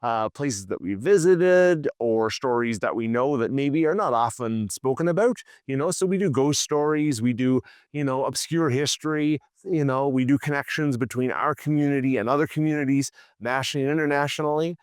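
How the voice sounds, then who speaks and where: A middle-aged man speaks as a historian guest, easygoing and full of "you know" hedges. His voice is smooth and resonant at a middle pitch, recorded dry and close. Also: normal speed, hardly any pauses, dry recording. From Canada. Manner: relaxed, hedging with "you know" and "uh". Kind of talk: casual interview.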